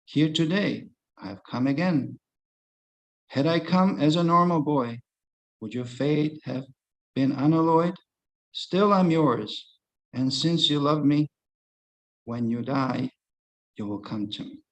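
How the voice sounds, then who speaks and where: A man voicing Lord Vishnu, a divine male god, speaking slow, measured rhyming verse to comfort his mother. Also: fairly high pitch, rough recording. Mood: solemn, tender.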